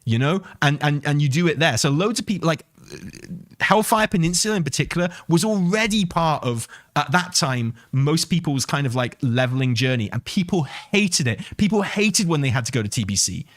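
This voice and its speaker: A man's voice: fairly high pitch, lively intonation, normal speed, no echo, studio quality. A man giving casual, enthusiastic gaming commentary, thinking aloud in an emphatic, conversational way and repeating himself for emphasis. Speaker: video game commentator and host.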